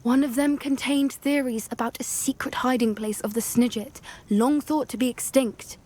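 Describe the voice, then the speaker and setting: A woman voicing an earnest, determined teenage witch in a video game, with a British accent and an articulate, quietly intense manner. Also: sits high, steady tone, moderate pace. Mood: distressed. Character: earnest, determined teenage witch schoolgirl who loves creatures. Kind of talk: cinematic game dialogue acting. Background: background music.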